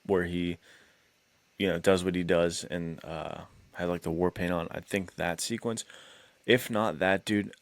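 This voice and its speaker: A man with a very low voice chats casually on a podcast. His delivery is laid-back and full of enthusiastic slang. The sound is dry and close, with little room in it. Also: steady tone, measured pace, no echo. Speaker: podcast co-host. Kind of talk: casual film discussion chat.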